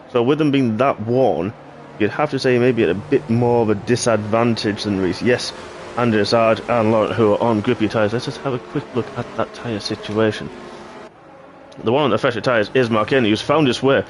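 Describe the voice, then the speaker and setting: Man, hoarse, throat-clearing, live racing commentary, mid-pitched voice, faint background hiss. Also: moderate pace. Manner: excitable play-by-play, rising sharply at incidents. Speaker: race commentator.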